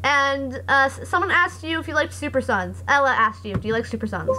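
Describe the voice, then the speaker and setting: A young woman streamer with a hoarse voice, chatting casually and reading comments aloud in a rambling, sarcastic way. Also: sits high, lively intonation, fairly quick, pushed and bright, a little hiss or hum. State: hoarse after voice acting. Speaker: live streamer.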